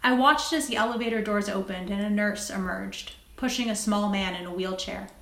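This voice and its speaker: A woman speaking as a guest doctor and writer, articulate and measured, in an interview and reading from her own essay. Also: medium pitch, fairly bright tone. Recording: roomy, echoing sound.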